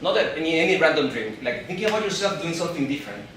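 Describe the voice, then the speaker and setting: A Peruvian man in his thirties gives a talk on stage, his English carrying a Spanish accent. His voice is a little high and rings around a big echoey hall. He sounds some way off the mic and often stumbles, repeats and restarts his words. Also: sing-song, a bit bright, far from the mic, slight background noise. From Peru. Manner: halting, repeats and restarts words, laughs along with the crowd. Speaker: event speaker. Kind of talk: live stage talk to an audience. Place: event hall.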